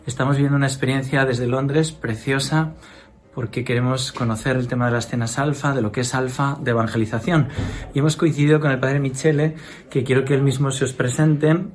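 Effusive Spanish radio priest host. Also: male voice, mid-range voice, fairly even intonation, brisk. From Spain. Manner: warm, effusive greetings. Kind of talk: radio program presentation.

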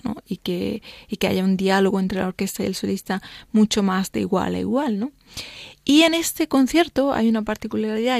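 A woman's voice: mid-range voice, no echo. A Spanish woman pianist with a faint Italian lilt, chatting thoughtfully as a guest in a radio studio and sprinkling her answers with fillers. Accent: slight Italian lilt on Spanish. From Spain. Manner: thoughtful, explaining with many fillers and "¿no?". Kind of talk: radio interview chat.

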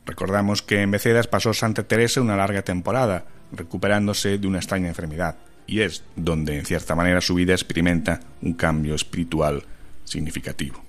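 A man reading a formal news voice-over in a measured, steady way. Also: low-pitched. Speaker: news narrator. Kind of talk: scripted voice-over narration.

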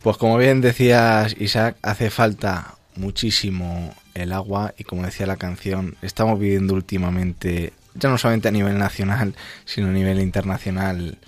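A man's voice: low-pitched, steady tone, normal speed, soft background music. A man hosting a radio show in a conversational commentary style. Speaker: radio host.

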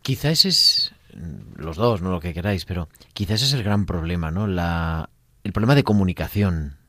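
Male radio host, very low voice, chatty interviewer, dry studio sound. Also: moderate pace, dry recording. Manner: lively, chatty questioning.

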